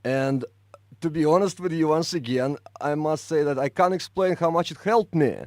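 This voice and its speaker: A man's voice: on the high side, moderate pace, a little mellow, no echo, studio quality. A man, interviewing a guest in an eager, fan-like way. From Russia. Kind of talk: casual interview.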